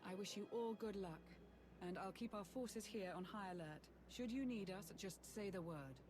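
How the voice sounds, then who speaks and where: An actress playing an earnest, devoted military adviser in a video game, speaking formally and with measure. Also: female voice, mid-range voice, normal speed, close to an everyday voice. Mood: supportive. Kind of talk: video game cutscene acting. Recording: music behind the voice.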